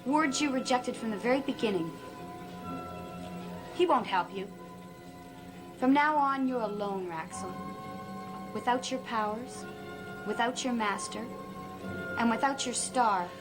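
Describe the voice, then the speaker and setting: Woman playing a high-voiced, righteous young guardian. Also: measured pace, pauses often, music in the background, natural voice. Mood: solemn resolve. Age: young adult. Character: calm, righteous young woman, secret guardian against evil. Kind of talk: 1960s gothic soap opera acting.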